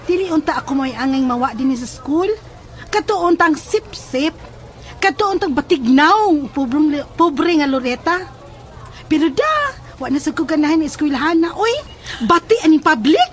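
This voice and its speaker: Actress as snobbish schoolgirl, high pitch, whiny, drawling, scornful, audio drama. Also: expressive, moderate pace. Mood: scornful, sulky. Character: haughty, complaining schoolgirl who scorns public school.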